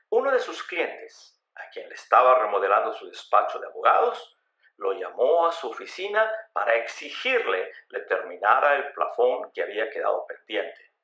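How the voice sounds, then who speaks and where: Guy narrating a radio story, really lively up and down delivery, bit of echo on it. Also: mid-range voice, highs cut off. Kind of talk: scripted dramatic narration. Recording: roomy, echoey voice recording.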